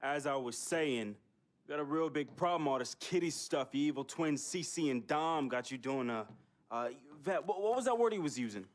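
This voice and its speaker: A man's voice: on the high side, expressive, normal speed, close to an everyday voice. An American man acting a streetwise, needling friend in a video game cutscene, slangy and insistent. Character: streetwise, needling best friend of star athlete.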